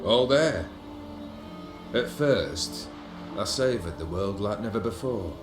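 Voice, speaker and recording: A man's voice: lowish voice, animated, slow, reverberant, over music. A man playing a proud, melancholy, world-weary nobleman in a video game, with an eloquent, formal and brooding delivery. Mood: brooding, reflective.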